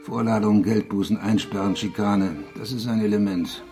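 An actor plays a scornful man in a radio crime play. His deep male voice is dry and disdainful, set over clear background music. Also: steady tone, moderate pace, a little mellow, close to an everyday voice. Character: scornful man, dryly contemptuous of petty authority. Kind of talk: radio crime drama dialogue.

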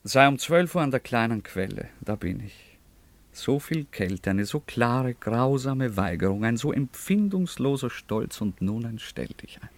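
A man's voice: mid-range voice, very animated, a little slow, no room sound. A man acting a proud, guarded young nobleman in a radio play, with a wry and evasive manner. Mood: astonished. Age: young adult.

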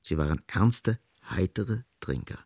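A man tells a literary story as radio drama narrator. His voice is very low and husky, recorded dry. He phrases deliberately and with measured emphasis. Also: lively intonation, darkish tone, no echo.

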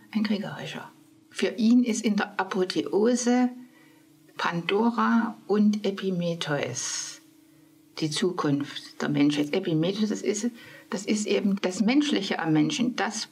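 A woman's voice: medium pitch, breathy edge. A woman speaking as a literary scholar, explaining thoughtfully and searching for her words. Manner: hesitant, searching for words, soft asides.

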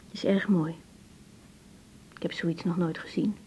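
A woman's voice: on the low side, fairly even intonation, measured pace, a little mellow, breathy edge. An actress playing a troubled, conscientious young woman doctor in a Dutch radio drama, speaking hesitantly. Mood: nervous, subdued. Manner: hesitant, searching for words. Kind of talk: 1980s Dutch radio drama acting with spoken diary monologue. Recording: dull 1980s radio recording.

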